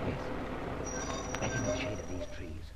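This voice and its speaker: An actor playing a suave, mocking masked gentleman adventurer in an old radio serial, polished and drily ironic, with courteous menace. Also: sits low, moderate pace, heavy background noise, natural voice. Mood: cool, wry. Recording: muffled old radio drama recording.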